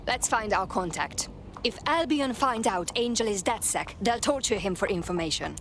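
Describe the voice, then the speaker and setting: An actress playing a determined, concerned undercover operative, speaking directly in a video game. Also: female voice, medium pitch, lively intonation, normal speed, noisy background, natural voice. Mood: focused, guarded. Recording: music behind.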